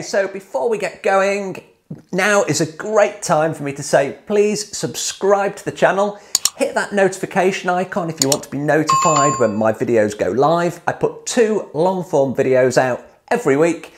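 A man's voice: a little high, slight background noise. A man in his forties with a British accent talks straight into a close mic in a clean studio. He has a chatty, upbeat, slightly salesy way of explaining things, as if he is walking a viewer through a build. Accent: British English. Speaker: DIY hot tub course creator and channel host. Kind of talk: direct-to-camera explainer.

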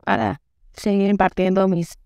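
A woman in her thirties from Guatemala, calmly telling her story as an interview guest. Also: mid-range voice, moderate pace, vocal fry, clear, resonant tone, no room sound, studio quality. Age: 30s. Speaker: guest, figure skating coach. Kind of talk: interview answers. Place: studio set. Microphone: lapel mic.

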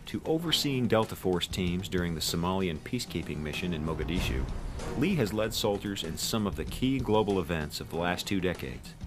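A man reading scripted promotional narration in a smooth, measured announcer style. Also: low voice, lively intonation. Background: background music.

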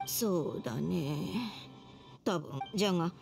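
An actress voicing a frail, gentle old grandmother who speaks in an old-fashioned way, heard as game audio. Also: fairly low pitch, expressive, slow, vocal fry, exaggerated voice. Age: older. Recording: game audio with music underneath.

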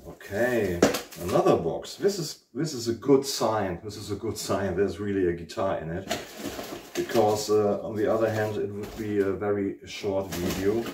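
A man's voice: on the low side, normal speed, distant mic, background noise. A man in his forties from Germany with a German accent, hosting a casual guitar unboxing vlog at home and searching for his words with many ums. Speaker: guitar channel host. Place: living room at home, indoors. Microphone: camera mic at a distance. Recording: echoey room, speaker away from mic.

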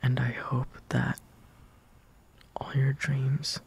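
A young man performing an intimate roleplay monologue as a lovestruck, insecure friend, in a hesitant, breathy half-whisper that trails off. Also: medium pitch, monotone, very slow. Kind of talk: soft intimate roleplay monologue, partly improvised.

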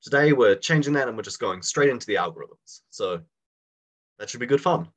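A young man with a slight cold and a bit raspy, teaching a live online class over a video call in a casual, chatty way and putting questions to his students. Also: medium pitch, lively intonation, fairly quick.

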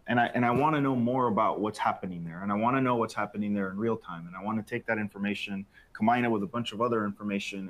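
A man's voice: on the low side, a bit bright. A man in his thirties on a panel in a factory studio, explaining with frequent restarts and repeated words, on a lapel mic. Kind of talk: panel discussion. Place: bright open factory studio set.

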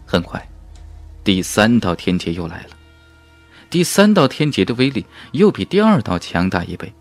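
A man's voice: lowish voice, big pitch swings, normal speed. A man narrating a fantasy novel in a dramatic, emphatic storytelling manner. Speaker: audiobook narrator. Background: background music.

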